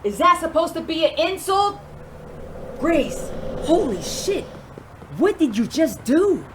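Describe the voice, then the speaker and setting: A woman's voice: extremely high pitch, big pitch swings, slow pace, a little hiss or hum, exaggerated voice. A woman playing a wry, enthusiastic older rocker in a conversational audio drama. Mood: amazed.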